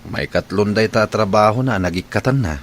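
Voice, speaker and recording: An actor playing a firm, opinionated older man in an Ilocano radio drama, frank and deliberate in his advice. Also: fairly low pitch, a little hiss or hum, lightly acted. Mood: concerned, firm.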